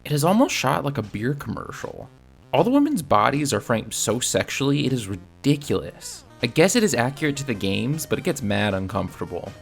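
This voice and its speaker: A man's voice: mid-range voice, very animated, brisk, gravelly, faint music behind. A man narrating a scripted video review in a casual, opinionated manner. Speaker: film reviewer.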